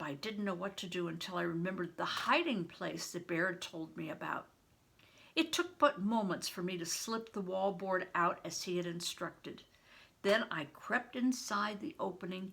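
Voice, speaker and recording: An older woman reading a novel aloud as a storyteller for children, with deliberate, dramatic phrasing and stretched emphasis. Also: mid-range voice, vocal fry, pushed and bright. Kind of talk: expressive read-aloud storytelling.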